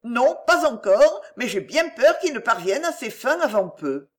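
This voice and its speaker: A woman's voice: a little high, sing-song, unhurried, voice pushed up. A woman voicing a self-pitying, scheming middle-aged mother in an audiobook, plaintive, melodramatic and wheedling. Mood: tearful, wheedling. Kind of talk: acted audiobook dialogue.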